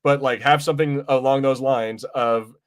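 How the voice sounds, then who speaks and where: A man's voice: mid-range voice, steady tone, normal speed, clear, resonant tone. A man co-hosting a podcast, discussing films and comics in a casual, rambling way with some swearing. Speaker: podcast co-host.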